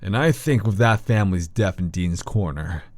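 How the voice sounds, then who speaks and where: An actor playing a grave, decisive scholar investigating an occult mystery, terse and authoritative, in an audio drama. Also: male voice, low voice, expressive, moderate pace, darkish tone, no echo. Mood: urgent, dismissive.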